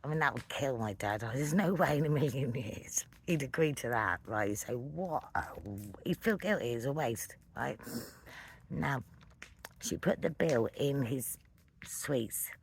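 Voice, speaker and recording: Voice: mid-range voice, lively intonation, unhurried, slight background noise. A middle-aged person telling a casual, meandering personal story in a playful way, as a late-night fireside ramble. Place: outdoors at night beside a fire.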